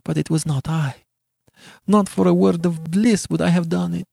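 A man voicing a gentle, grief-stricken young woman in a storybook, pleading earnestly in old-fashioned, lamenting language. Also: sits high, fairly even intonation, moderate pace, gravelly, no room sound, clean studio sound, voice pushed up, full character voice. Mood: anguished pleading. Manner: archaic, earnest, lamenting diction.

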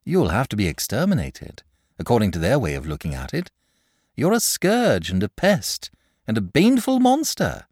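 A man's voice: lowish voice, wildly swooping pitch, moderate pace, a little mellow, no room sound, clean studio sound. A man voicing a frank, sensible young boy in a storybook, speaking politely and earnestly. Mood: exasperated. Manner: matter-of-fact, polite, earnest.

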